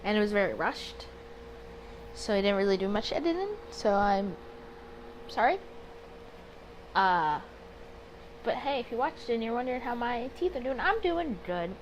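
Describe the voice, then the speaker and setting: A woman with a fairly high voice hosts a casual gaming playthrough. She rambles in a chatty, self-interrupting way and moves between commentary and reading story text aloud. It is a home recording with a bit of background noise. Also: very animated, normal speed, long pauses, low-quality recording. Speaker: host of a gaming channel. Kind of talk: casual let's play commentary with read-aloud visual novel text.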